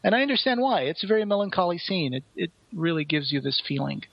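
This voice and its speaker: A man co-hosting a podcast, casually retelling and discussing a play in a rambling, halting, earnest way. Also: sits high, big pitch swings, creaky, lo-fi sound. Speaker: podcast co-host.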